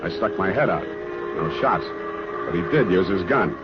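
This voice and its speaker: An actor playing a wry, easygoing hard-boiled American private detective in 1940s radio drama, narrating and talking in a deadpan way. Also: male voice, low-pitched, moderate pace. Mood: wry narration. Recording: muffled 1940s radio recording with music.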